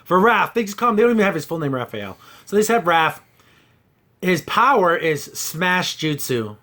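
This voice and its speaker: A man's voice: high voice, very animated, normal speed, bright, forceful tone. A man hosting a casual review chat, recapping a cartoon in an exasperated, scornful manner. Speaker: podcast host.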